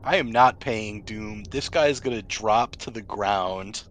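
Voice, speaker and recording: A man's voice: fairly low pitch, takes it slowly, fairly bright tone. A man running a tabletop role-playing game among friends as game master, narrating the action and ruling on dice.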